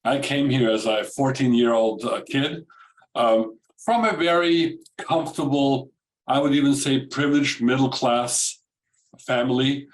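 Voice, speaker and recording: An Austrian-accented man in his sixties moderates a panel with formal courtesy. His voice sits at a middling pitch, and he picks his words haltingly and with care. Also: poor audio. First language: German. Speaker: moderator, retired diplomat. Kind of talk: semi-formal panel remarks. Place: video call webinar.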